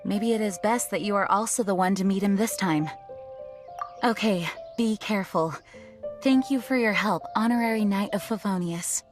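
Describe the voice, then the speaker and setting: Woman playing a polite gentle young lady in an anime fantasy game, calm n composed, music behind. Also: mid-range voice, normal speed, close to an everyday voice. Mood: concerned, grateful. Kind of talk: fantasy game character acting.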